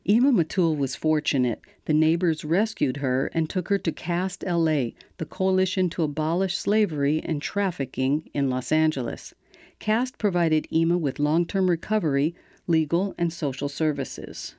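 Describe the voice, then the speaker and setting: A woman hosting a radio program, reading scripted narration in a measured, even way. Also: low voice, dry recording, clean studio sound. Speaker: radio host.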